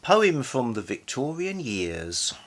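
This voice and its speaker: A man's voice: medium pitch, very animated, slow pace. A man hosting an informal, casual chat about books and poetry. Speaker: channel host.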